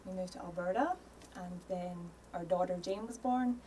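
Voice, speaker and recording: A woman's voice: on the low side, normal speed, dark tone, slightly rough. A woman in her thirties with a Scottish accent, a podcast guest chatting easily in a studio, laughing often and dropping in "um" and "yeah". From Scotland. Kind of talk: casual interview chat. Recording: voice a little distant from mic.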